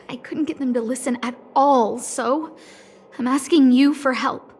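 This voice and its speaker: A woman voicing a young female lead researcher in a video game, girlish, expressive and a little bossy. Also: high voice, a little slow, slightly rough. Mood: troubled. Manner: expressive, dramatic, slightly haughty. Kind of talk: animated game character dialogue. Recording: studio voice over soft game music.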